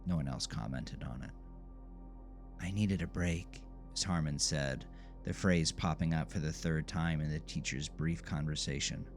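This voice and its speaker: A man narrating a scripted horror audio drama in a measured, literary storytelling manner. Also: extremely low pitch. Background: music under the voice.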